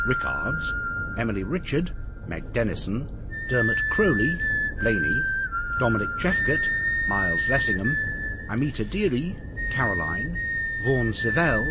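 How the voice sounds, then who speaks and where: A man's voice: mid-range voice, heavy background noise. A man with a British accent reading formal, scripted radio credits as an announcer. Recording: music behind the voice.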